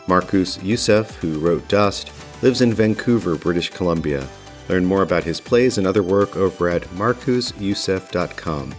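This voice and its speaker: A man hosting his own podcast, reading scripted credits as narration. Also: low-pitched. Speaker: podcast host and producer.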